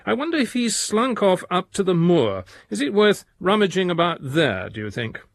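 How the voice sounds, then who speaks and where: An actor playing an earnest, methodical English detective voicing a letter in a radio drama, precise and businesslike. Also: male voice, sits high, sing-song, moderate pace, vocal fry, exaggerated voice. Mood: businesslike, wry.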